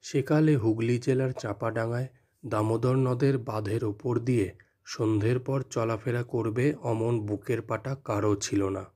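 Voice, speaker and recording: A man narrating a scripted ghost story in a measured, dramatic manner. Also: medium pitch, fairly even intonation, warm tone. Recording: music behind the voice.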